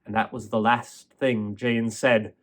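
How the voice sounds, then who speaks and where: Slow-paced, expressive male storyteller. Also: mid-range voice. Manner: expressive, dramatic pauses and emphasis. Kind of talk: performed fairy-tale narration.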